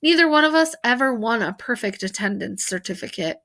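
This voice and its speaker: A woman reading a children's novel aloud in an expressive, animated storytelling manner, with emphasis on key words. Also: fairly high pitch, fairly quick. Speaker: storybook reader.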